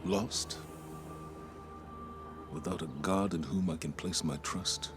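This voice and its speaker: Deep, solemn war commander. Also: male voice, fairly even intonation, music in the background. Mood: grieving, bitter. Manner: grave, measured, oratorical. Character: weary, solemn male military commander. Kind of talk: dramatic game cutscene monologue.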